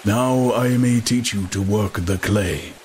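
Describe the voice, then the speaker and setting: A man voicing a patient old potter and teacher in an audiobook, speaking in a measured, instructive way. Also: on the low side, a little mellow, a little hiss or hum.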